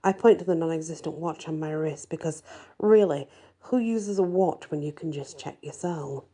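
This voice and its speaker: A woman reads a first-person fantasy story in an ASMR style, her voice soft, husky and breathy. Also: low-pitched, normal speed. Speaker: audiobook-style story reader.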